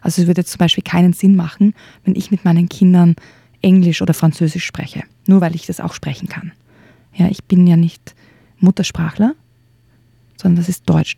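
A woman co-hosting a radio podcast, explaining things in a calm, measured way. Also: fairly low pitch, creaky, slightly rough, no room sound. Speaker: podcast co-host.

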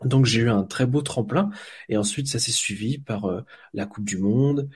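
A man from France talks about football as an interview guest, stopping often to restart his sentences. His voice is slightly husky and sits at a middle pitch. He keeps a casual, unpolished way of speaking. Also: normal speed, darkish tone. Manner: hesitant, many fillers and restarts. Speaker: guest, volunteer football blogger.

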